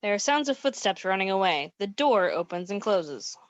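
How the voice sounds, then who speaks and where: A woman's voice: mid-range voice, very animated, normal speed, dry recording, close to an everyday voice. Slightly muffled amateur woman radio-play narrator. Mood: matter-of-fact. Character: plain-spoken female narrator of a comic radio play. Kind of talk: scripted stage-direction narration for comic radio play.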